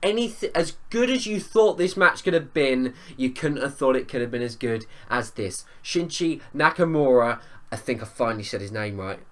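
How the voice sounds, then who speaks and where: A man with a hoarse voice from a cold, giving an enthusiastic, opinionated and rambling spoken review of a wrestling show. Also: mid-range voice, animated, normal speed, fairly bright tone. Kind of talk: casual spoken review vlog.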